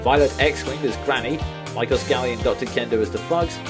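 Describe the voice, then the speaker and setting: A man's voice: medium pitch, a little fast, music in the background. A man reading scripted end credits as an announcer for an audio drama.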